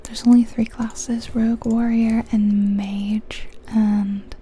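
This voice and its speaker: A woman speaks in a soft, breathy ASMR whisper with a very mellow, muted tone. Her delivery is gentle, even and unhurried, like informal commentary over a game. There is some background noise behind her close-up voice. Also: on the high side. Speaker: ASMR gaming video host.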